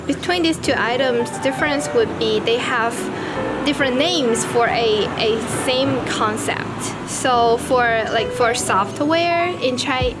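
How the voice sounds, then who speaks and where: A woman from mainland China gives a steady, explanatory street interview answer in a slightly high voice. Loud music runs under her words.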